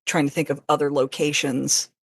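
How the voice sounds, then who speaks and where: Gravelly-edged woman chatting casually. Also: deep voice, little pitch movement, brisk, dry recording, studio quality. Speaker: podcast guest. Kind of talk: casual chat.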